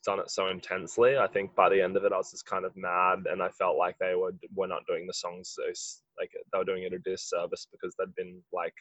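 A musician with a husky voice, answering interview questions in a rambling way, full of "like". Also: male voice, low-pitched, a bit bright, dry recording, muffled recording. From Australia. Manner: rambling, heavy use of "like". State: husky from late rehearsal. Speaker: musician in a band.

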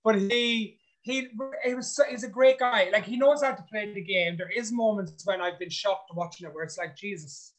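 A man's voice: sits high, normal speed, hardly any pauses. A man, a reality show contestant, chatting in an interview in an outspoken, blunt way, swearing freely. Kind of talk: casual interview chat.

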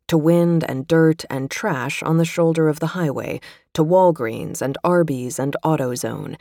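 A woman narrating a long-form magazine feature in a measured, literary way. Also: deep voice, no room sound, studio quality. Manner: measured, even, literary delivery. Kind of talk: scripted narration.

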